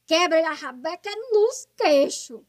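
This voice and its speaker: A shrill, very high-pitched older mother, acted by a woman in a comic radio play. She scolds and bosses theatrically, goading her grown son with insults and commands. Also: lively intonation, unhurried. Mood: goading, bossy. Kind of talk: radio soap opera comedy acting.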